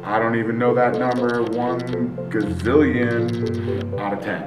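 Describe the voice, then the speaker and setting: A man's voice: mid-range voice, little pitch movement, measured pace. A middle-aged male teacher reading reviews aloud and reacting casually, recorded in a small office from a few feet away. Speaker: Spanish teacher. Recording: roomy, distant mic, faint music.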